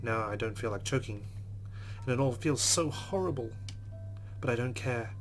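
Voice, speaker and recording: A man's voice: mid-range voice, moderate pace, soft background music. A man hosting a let's play, reading a visual novel's text aloud dramatically and adding his own commentary.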